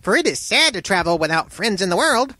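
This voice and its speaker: A lively fire spirit from a storybook, sociable and excitable. The voice is very high and crackly, leaping about in an exclamatory way. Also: male voice, brisk, no room sound, full character voice. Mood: relieved, eager. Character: bright, lively red-haired fire-man, excitable and sociable.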